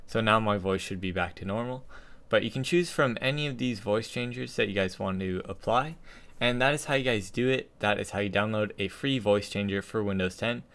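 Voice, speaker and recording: A young man hosting a casual software tutorial, speaking into a desktop condenser microphone. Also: fairly low pitch, brisk. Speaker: tutorial host. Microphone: desktop studio condenser microphone.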